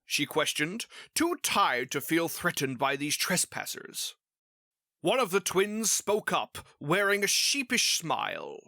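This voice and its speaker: A narrator reading a scripted fantasy story in an expressive storybook delivery. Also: mid-range voice, slow, no room sound, studio quality.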